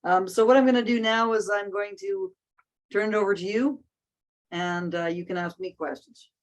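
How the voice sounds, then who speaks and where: A woman's voice: medium pitch, fairly even intonation, moderate pace. A woman hosting a casual discussion, speaking thoughtfully with frequent restarts and fillers. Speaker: host.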